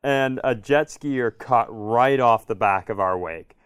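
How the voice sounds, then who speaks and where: A man's voice: medium pitch, takes it slowly, clean tone, no room sound. A man co-hosting a comedy podcast, chatting and telling stories casually with dry, understated humor. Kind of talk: casual chat and storytelling.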